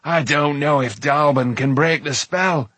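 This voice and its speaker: A man's voice: fairly high pitch, a little slow, a bit bright, slightly rough, dry recording. A man voicing an irascible dwarf trapped in a frog's body for an audiobook, snappish, scornful and blustering. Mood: urgent, grumbling. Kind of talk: audiobook character voice.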